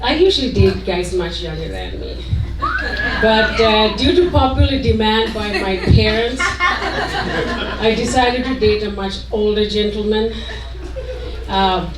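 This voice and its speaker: A woman in her late forties from Sri Lanka delivers a stand-up set at a slow pace. Her halting lines leave space after each punchline. She sounds distant from the microphone, in a roomy club. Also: mid-range voice. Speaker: stand-up comedian. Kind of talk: stand-up comedy routine. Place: indoor comedy club stage. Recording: roomy, distant mic, noisy club recording.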